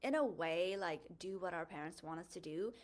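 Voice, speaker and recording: Earnest young woman, lowish voice. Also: normal speed. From born in a refugee camp in Thailand, Hmong, raised in the United States. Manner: earnest, thoughtful, with frequent fillers and self-corrections. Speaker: host of a faith podcast. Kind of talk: reflective personal monologue.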